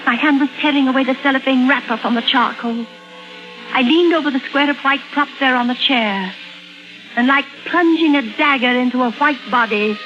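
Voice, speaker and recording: Vintage radio thriller, dull sound: actress as troubled, suspicious woman painter, dramatic delivery, fairly high voice. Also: close to an everyday voice. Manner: brooding, dramatic, intense. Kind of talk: 1950s radio drama acting, with narration. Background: dramatic music.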